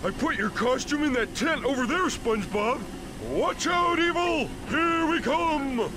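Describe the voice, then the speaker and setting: A man's voice: extremely high pitch, expressive, a little slow, music in the background. A man voicing a dim, childlike starfish playing superhero in a cartoon video game, dopey, naive and mock-heroic.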